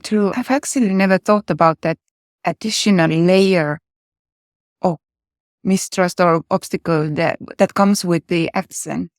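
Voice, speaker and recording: A woman's voice: fairly low pitch, a little slow, dry recording, clean studio sound. A woman hosting an interview in English, which is not her native language, asking thoughtful questions over a video call. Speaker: host, interviewer.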